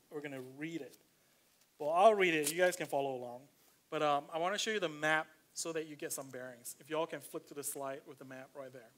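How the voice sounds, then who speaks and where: A man's voice: a little high, fairly bright tone. A man with a Malaysian accent preaching a casual, conversational sermon in a church, reading scripture aloud with frequent fillers. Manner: conversational, filler-heavy, slows down to stress points. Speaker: pastor.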